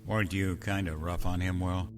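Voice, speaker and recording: An actor playing a thoughtful, humane ship's doctor who reasons and questions in a science fiction audio drama. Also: male voice, low-pitched, fairly even intonation, normal speed, over loud music, close to an everyday voice. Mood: reproachful.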